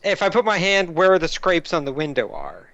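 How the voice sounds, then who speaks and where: Smooth, higher-voiced man playing a tabletop game. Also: very animated, moderate pace, fairly bright tone, dry recording, lo-fi sound. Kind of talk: casual in-game questioning.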